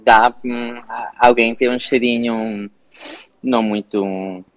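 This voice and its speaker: A man from Italy with an Italian accent, a guest in a relaxed interview, chatty and laughing often, with halting non-native phrasing. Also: mid-range voice, dry recording, low-quality recording. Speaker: guest, Instagram cooking creator.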